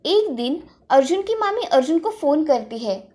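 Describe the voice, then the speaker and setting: A woman's voice: high voice, very animated, normal speed, mellow. A woman storyteller reading scripted narration for a moral tale.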